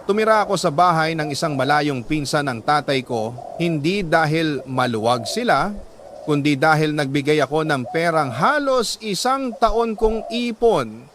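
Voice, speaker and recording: A higher-pitched male voice of a storyteller playing a weary middle-aged working man recounting his life. He speaks solemnly and earnestly, pausing for drama. There is some noise behind the voice. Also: lively intonation. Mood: reflective, sorrowful. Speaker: storyteller narrating a listener's letter. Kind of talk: dramatic scripted first-person story narration.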